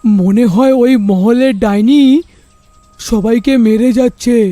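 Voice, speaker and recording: A man's voice: very high-pitched, slow, over music. A man voicing a worried, earnest village elder in a cartoon horror story, with theatrical delivery. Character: worried male village elder, plotting against a witch.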